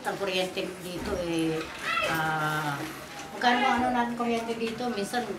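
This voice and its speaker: A Filipino woman giving informal testimony as a resident, complaining in a halting, repetitive way. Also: medium pitch, a loud crowd. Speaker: relocation site resident being interviewed. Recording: echoey, distant mic, very noisy surroundings. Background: loud ambient noise.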